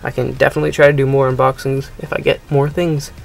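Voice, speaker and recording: A man's voice: mid-range voice, little pitch movement, fast, creaky, soft background music. A young man casually narrating a gadget unboxing, rambling and hesitant with many ums. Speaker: unboxing video host. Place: indoors at a table.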